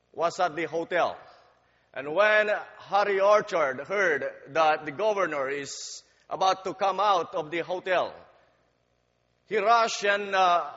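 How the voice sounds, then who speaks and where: A man preaching a sermon in a church, praying and telling a story in a slow, deliberate, halting way with fillers, growing louder as the story builds. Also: a little high. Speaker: guest preacher. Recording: dull sound with long hall reverb.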